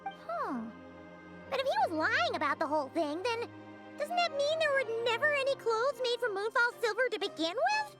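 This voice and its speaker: A woman voicing a tiny, excitable fairy companion with a childlike squeaky voice in a video game, with music behind her. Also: normal speed. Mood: puzzled. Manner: exclamatory, refers to self in third person.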